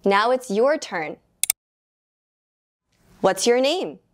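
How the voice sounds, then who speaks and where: Young woman, English teacher, unhurried and precise, clip-mic studio read. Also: fairly high pitch, sing-song, a little hiss or hum. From United States. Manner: slow, clearly enunciated teaching delivery. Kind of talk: scripted beginner grammar lesson. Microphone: lapel mic.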